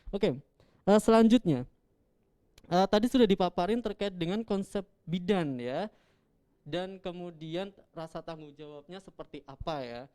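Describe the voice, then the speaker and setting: A man's voice: on the high side, dark tone, clear, resonant tone. A young man hosting a live-streamed campus talk show, chatty and joking, laughing often.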